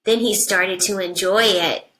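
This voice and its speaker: A woman teacher reading a picture book aloud to young children, with simple deliberate phrasing and hushed asides. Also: mid-range voice, steady tone, slight background noise, rough recording. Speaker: first grade teacher.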